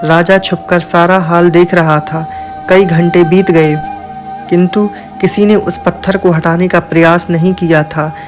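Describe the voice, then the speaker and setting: A man's voice: sits high, steady tone, measured pace, music in the background. A man narrating a scripted moral tale as a storyteller.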